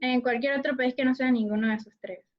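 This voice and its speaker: A woman's voice: sits high, steady tone, fast talker, soft background music. A young woman with a Panamanian accent, a student talking casually in an online class. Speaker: law student. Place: video call. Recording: dull lo-fi video call audio.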